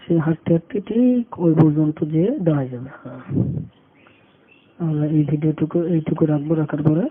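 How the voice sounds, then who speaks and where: A man giving an unscripted step-by-step tutorial, halting and repeating phrases as he works. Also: fairly high pitch. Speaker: tutorial instructor. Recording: muffled phone-like audio with background noise.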